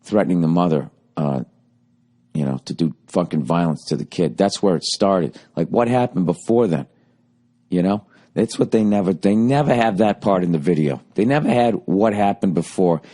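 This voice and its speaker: Boston guy in his 40s ranting on his podcast, swearing a ton, sarcastic, lowish voice going kinda hoarse. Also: normal speed, warm tone. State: voice getting hoarse. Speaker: podcast host. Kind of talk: rambling comic monologue.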